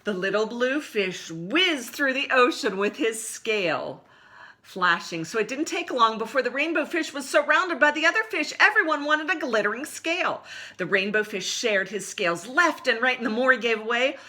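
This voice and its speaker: A woman's voice: on the high side, moderate pace. A woman hosting story time, reading a picture book expressively to young children and addressing them directly. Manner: animated, asks listeners questions. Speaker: library story time host and reader.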